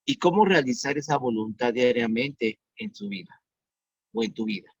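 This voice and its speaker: A man in his fifties preaching an online sermon as pastor, earnest and repeating his questions slowly for emphasis. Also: mid-range voice, big pitch swings, gravelly, breathy edge, no room sound. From Mexico. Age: 50s. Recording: dull dry sound.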